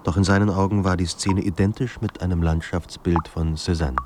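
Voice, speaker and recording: An actor playing a sombre literary narrator in a radio drama, speaking in a measured, grave manner. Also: male voice, sits low, steady tone, noisy background, natural voice. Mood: reflective. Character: sombre literary narrator of a troubled writer's life.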